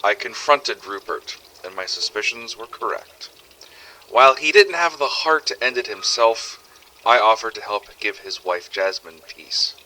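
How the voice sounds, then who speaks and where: A man's voice: lowish voice, expressive, a little slow, pushed and bright, rough recording. A man playing a weary, sarcastic survivor of a zombie outbreak, narrating first-person audio logs in a scripted game-story style. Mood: somber. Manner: sardonic, exasperated, swearing.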